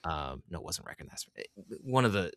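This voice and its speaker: A man's voice: low voice, sing-song, fast talker, fairly bright tone, no room sound, studio quality. A man hosting a podcast interview in casual conversation, with an informal, fannish enthusiasm. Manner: informal, enthusiastic fan talk.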